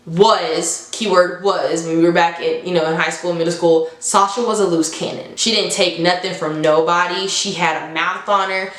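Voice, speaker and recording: A woman's voice: mid-range voice, fairly bright tone. Young woman storyteller in an echoey room. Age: young adult. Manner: conversational, slangy, dramatically re-enacts dialogue. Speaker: storytime vlogger. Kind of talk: casual animated storytelling to viewers.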